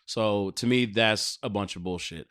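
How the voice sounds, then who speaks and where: A man's voice: lowish voice, normal speed, fairly bright tone, smooth. An American man chatting casually as a podcast co-host, talking in a slangy, profane, emphatic way. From United States.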